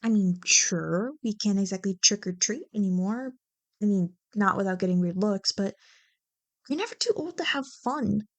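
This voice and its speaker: A woman playing a playful, teasing young childhood friend who loves Halloween, in an animated, bantering ASMR roleplay. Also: mid-range voice, moderate pace, natural voice. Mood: enthusiastic, teasing. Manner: animated, bantering, mock-exasperated. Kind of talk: ASMR roleplay, one-sided scripted conversation.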